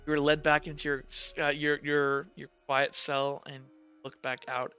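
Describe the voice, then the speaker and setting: A man with a fairly high, bright voice narrates a tabletop game as game master. He is casual and halting as he improvises. The audio is slightly dull, with faint music behind. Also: little pitch movement, clean tone. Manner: halting, conversational.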